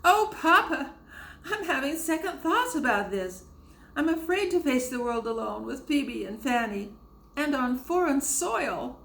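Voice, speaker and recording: A woman voicing a frightened young woman of about nineteen, pleading with her father in a high, trembling voice. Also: very animated, measured pace, vocal fry, fairly bright tone, roomy sound, full character voice. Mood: tearful, pleading.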